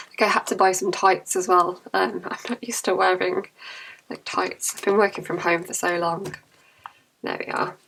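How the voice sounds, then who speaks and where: Croaky woman with a cold. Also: mid-range voice, fairly bright tone, slight background noise, low-quality recording. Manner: chatty, self-correcting, apologetic asides. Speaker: budgeting vlogger. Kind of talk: casual talk to camera.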